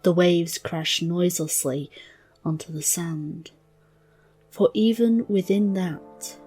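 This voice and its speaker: A woman narrating a scripted fairy tale in a breathy, half-whispered, hushed and deliberate voice. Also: fairly low pitch. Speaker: storyteller, narrator. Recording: narration over background music.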